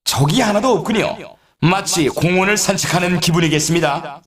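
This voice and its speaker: An actor playing an excitable male snowboard race announcer in a video game, with formal, polite phrasing and showy flair. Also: high voice, moderate pace, echoey room, crowd noise, natural voice. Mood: upbeat.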